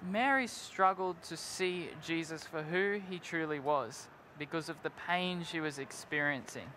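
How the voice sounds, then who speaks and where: A man's voice: a little high, unhurried, bright, forceful tone, a little hiss or hum. A young man co-hosting a live youth Bible discussion on stage, earnest and upbeat, tossing in "like" and "right?". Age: young adult. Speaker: co-host of a youth Bible panel. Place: large indoor convention hall stage with crowd. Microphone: headset mic.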